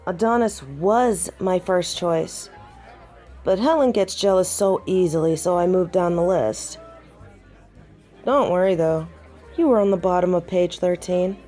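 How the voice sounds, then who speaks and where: A woman's voice: on the low side, measured pace, dark tone, faint music behind, natural voice. A woman playing a proud, prickly, tipsy werewolf second-in-command in an audio roleplay drama, with a dry, sarcastic drawl. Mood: teasing.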